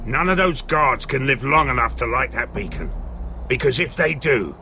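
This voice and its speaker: An actor playing a blunt, foul-mouthed mercenary leader in a video game, with a gruff, commanding delivery and a gravelly voice. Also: male voice, medium pitch, expressive, normal speed, noisy background, close to an everyday voice. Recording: music behind the voice.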